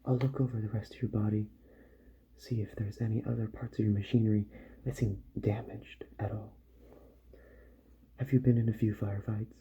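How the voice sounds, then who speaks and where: Hushed, very dark-voiced man doing ASMR. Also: lowish voice, little pitch movement, lo-fi sound, a little hiss or hum. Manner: soft-spoken, hushed, often whispering; unhurried murmuring to the listener while working. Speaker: ASMR roleplay creator playing a repair doctor. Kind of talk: ASMR roleplay.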